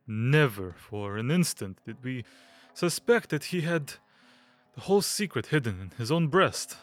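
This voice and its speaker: Casting: male actor as fussy aesthete gentleman, stammering and nervous, mid-range voice, close dry sound, audio drama. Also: medium pitch, no echo, lightly acted. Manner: hesitant, stammering, nervous giggles and throat-clearing.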